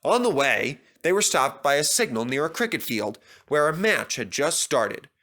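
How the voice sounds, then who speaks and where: Male storyteller, higher-pitched and bright, expressive children's narration. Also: moderate pace.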